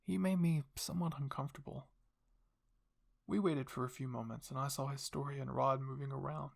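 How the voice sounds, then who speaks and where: A man performs first-person ASMR story narration. His voice sits at a middling pitch, with plenty of vocal fry and a rough, breathy husk. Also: fairly even intonation, fairly quick.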